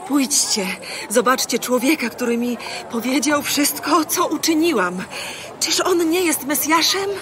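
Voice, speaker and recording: A woman's voice: high-pitched, animated, unhurried, noisy background. A woman playing a curious, questioning villager of ancient times in an audio Bible drama, speaking earnestly. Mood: excited. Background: music.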